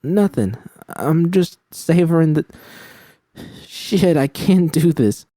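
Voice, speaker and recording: A distraught young woman, raw and self-critical, her voice pushed well up into a high, cracking register, as a male reader puts her on. The pleading breaks through in a dry, close recording with a dark underlying tone. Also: animated, slow, no echo. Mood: strained. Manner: breaking, pleading, near sobbing. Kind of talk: fan audiobook reading.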